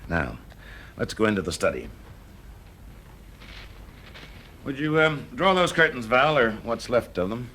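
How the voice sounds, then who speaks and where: A British man acting a shrewd, methodical fire investigator in a radio drama, measured and politely probing with small hesitations. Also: mid-range voice, big pitch swings, sharp tone. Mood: musing. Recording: noisy vintage radio drama recording.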